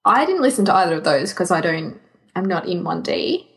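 A woman's voice: on the low side, very animated, fairly quick, creaky. A woman co-hosting a podcast in a casual, conversational style. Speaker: podcast co-host.

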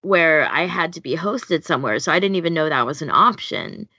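A woman's voice: sits low, animated, fairly quick, bright, forceful tone, slightly rough, no echo. A woman chatting casually as a podcast host, candid and self-deprecating, on a slightly muffled recording. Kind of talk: casual group chat.

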